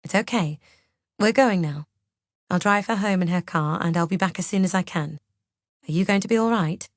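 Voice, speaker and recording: A woman voicing a gentle man who speaks softly and reassuringly, as a character in an audiobook story. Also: lowish voice, moderate pace, no echo, clean studio sound, natural voice. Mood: soothing. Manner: soft, placating, reassuring. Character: gentle, soothing man calming an upset household.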